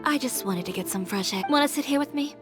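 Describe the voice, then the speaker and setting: A woman's voice: sits high, lively intonation, fast, over music. A woman playing a composed, independent fashion designer in a video game, casual and understated. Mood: guarded, composed.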